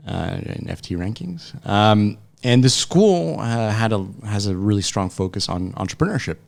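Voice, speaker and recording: A man's voice: lowish voice, expressive, moderate pace, vocal fry. A man in his late thirties from France, answering interview questions conversationally, with frequent hesitations and restarts. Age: late 30s. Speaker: interview guest, startup founder. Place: podcast studio.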